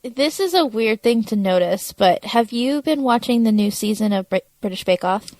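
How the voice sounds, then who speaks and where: A woman's voice: on the high side, lively intonation, normal speed, a little mellow, no room sound. A woman co-hosting a podcast, chatting informally as she recaps television. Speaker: podcast co-host. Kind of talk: casual TV recap chat.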